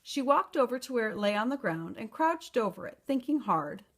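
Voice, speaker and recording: A woman reads a children's story aloud as storyteller. Her voice sits a little high and moves with very lively intonation, giving the narration plenty of expression. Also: moderate pace.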